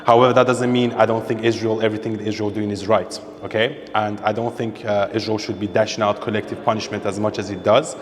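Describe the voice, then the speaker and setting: A man's voice: on the low side, flat delivery, quick pace, few pauses, long reverb tail. A man from Iran, interviewed on a podcast, who speaks emphatically and repeats his points for stress. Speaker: interviewee, social media creator. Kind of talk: opinionated conversation.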